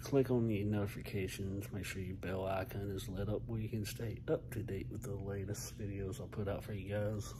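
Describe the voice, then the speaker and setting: A man's voice: on the low side, little pitch movement, moderate pace, few pauses, noisy background. A man talking casually and folksily to viewers as a video host, recorded on a handheld phone. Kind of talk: casual vlog talk to viewers. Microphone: phone at arm's length.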